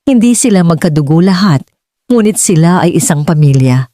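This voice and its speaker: A Filipino-accented woman narrating a scripted dramatic story in a measured, earnest way, as for an audiobook. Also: lowish voice, animated, mellow, no room sound, clean studio sound.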